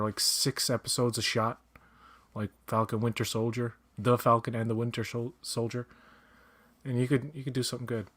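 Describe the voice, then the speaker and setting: A man's voice: medium pitch, a bit bright. Blunt, opinionated man riffing on a movie. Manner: opinionated, blunt, swears freely. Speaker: guest co-watcher. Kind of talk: casual watch-along chat.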